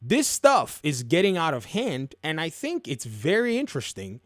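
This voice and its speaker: A man with a high voice that swings widely in pitch, recorded dry and close. He talks like a commentary host, casual and opinionated, leaning on repeated phrases to drive points home. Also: huge pitch swings, moderate pace, dry recording. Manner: emphatic, repeats phrases for effect, colloquial. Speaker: video game commentary host.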